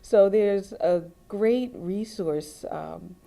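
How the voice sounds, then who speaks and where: A woman's voice: medium pitch, extremely slow, vocal fry, warm tone. A woman born in Jamaica, a college professor, speaking thoughtfully and with composure in an interview. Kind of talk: interview reflection.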